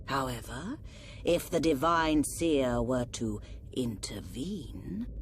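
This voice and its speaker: A woman playing a cold, scheming government minister in a video game, formal, measured and insinuating. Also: very deep voice, expressive, a little hiss or hum, exaggerated voice. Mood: sly, deferential. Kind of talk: dramatic video game voice acting.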